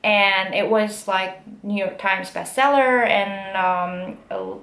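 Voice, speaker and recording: A young woman with a Korean accent and American-style English, telling a story casually with many fillers and pauses. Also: mid-range voice, steady tone, vocal fry, a bit bright. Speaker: aspiring actress. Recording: echoey room.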